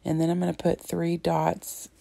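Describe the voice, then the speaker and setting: A woman in her thirties with a low, gravelly, hoarse voice walks through her scrapbook layout in a chatty, meandering way. Her tone is mellow and the sound is dry and close. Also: monotone, moderate pace, dry recording. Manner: chatty, rambling, frequent ums and throat-clearing. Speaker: scrapbooking crafter. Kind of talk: casual craft tutorial narration.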